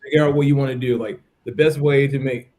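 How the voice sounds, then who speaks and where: A man's voice: medium pitch, fairly even intonation, fast talker, darkish tone. An American man in his thirties talking casually in a conversational interview, explaining things in a chatty way. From United States. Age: 30s. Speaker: podcast participant, English teacher.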